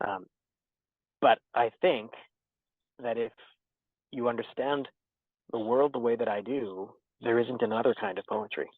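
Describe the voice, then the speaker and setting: A man's voice: medium pitch, animated, gravelly, no room sound. Thoughtful man on a tinny remote line. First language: English. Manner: thoughtful, measured, with many fillers and restarts. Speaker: interviewed poet and theology teacher. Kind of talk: reflective interview answers. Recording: tinny dry phone-like line.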